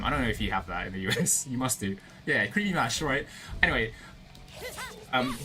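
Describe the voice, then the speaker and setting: A man's voice: mid-range voice, pushed and bright. A young British man with a slight American tinge to his accent, chatting loosely with viewers as a VTuber streamer, laughing often and rambling through fillers and restarts. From Britain. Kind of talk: casual chat with stream viewers. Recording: music playing behind the voice.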